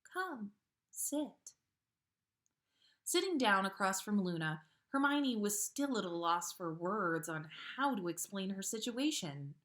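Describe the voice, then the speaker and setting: A woman reading a story aloud as an expressive narrator, like a podcast host sharing fan fiction. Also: mid-range voice, normal speed, hardly any pauses.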